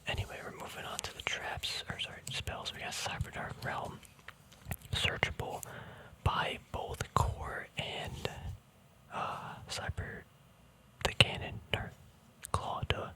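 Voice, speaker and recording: A man's voice: takes it slowly, rough recording. Whispering man, laid-back ASMR chat. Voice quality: soft breathy whisper. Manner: laid-back, informal, self-deprecating. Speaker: ASMR card collector host. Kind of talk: whispered ASMR, casual rambling deck walkthrough.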